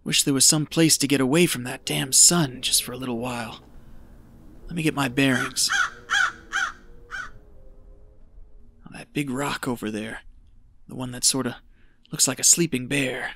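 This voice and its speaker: An actor playing an exhausted, rambling treasure hunter losing his wits, folksy and breathy, muttering to himself in an audio drama. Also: medium pitch, fairly even intonation, background noise, close to an everyday voice. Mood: exhausted, dazed. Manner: folksy, muttering to himself, slow halting delivery.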